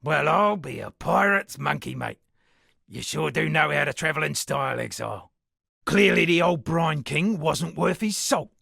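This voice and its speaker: An actor playing a self-satisfied town poet and storyteller in a video game, speaking in a florid, dramatic manner with a gravelly, husky voice. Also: male voice, a little high, expressive, moderate pace, pushed and bright, dry recording, studio quality, heavily acted. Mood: jovial. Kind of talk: theatrical game dialogue with declaimed verse.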